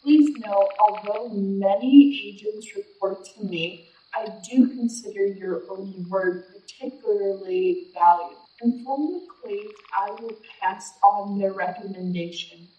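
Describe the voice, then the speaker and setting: A woman playing a stoic alien mother in an audio drama, speaking in formal, measured phrases. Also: medium pitch, warm tone, close to an everyday voice. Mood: formal, grave. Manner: formal, measured, stately wording. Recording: dull, band-limited sound.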